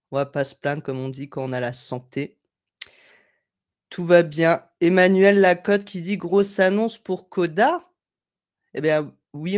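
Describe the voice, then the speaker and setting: A woman's voice: fairly low pitch, darkish tone. A woman hosting a casual live tutorial from home, reading chat comments in a chatty, digressive way, with a slight head cold. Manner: chatty, digressive, friendly, thinking aloud with fillers. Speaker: live stream host. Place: home room at a desk, indoors.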